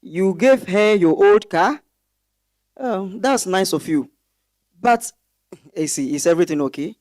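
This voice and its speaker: A man's voice: high voice, lively intonation, a little slow, a little mellow, no echo, studio quality. A man with a Ghanaian accent playing a concerned, insistent husband in a stage reading, probing solicitously.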